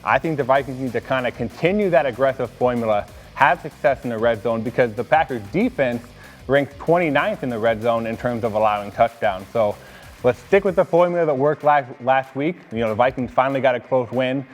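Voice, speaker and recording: A man's voice: mid-range voice, a little fast, in a large hall, soft background music. An American man talking casually as a sports analyst on a team show.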